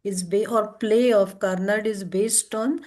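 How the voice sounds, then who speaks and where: A woman's voice: mid-range voice, fairly even intonation, unhurried, a little mellow. A woman talking in an informal book club discussion.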